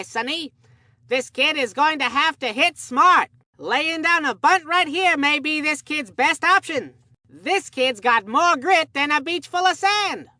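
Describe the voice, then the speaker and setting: An actor voicing an excitable, absent-minded kids' baseball game commentator who rambles in an earnest, folksy way. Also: high-pitched, no room sound, heavily acted. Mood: upbeat, folksy. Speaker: sports commentator. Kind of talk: cartoon video game sports commentary.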